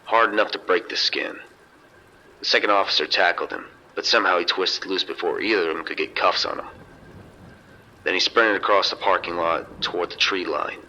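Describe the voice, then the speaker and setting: A man playing a seasoned, unrattled casino security chief giving measured, detailed reports over a two-way radio in an audio drama. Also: sits low, sharp tone. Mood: grave, composed. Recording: dull, noisy two-way radio sound.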